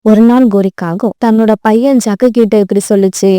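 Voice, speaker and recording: Female narrator for children's tales: soft-edged mellow tone, medium pitch, very dry recording. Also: steady tone, fairly quick, clean tone, no room sound. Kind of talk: scripted children's story narration.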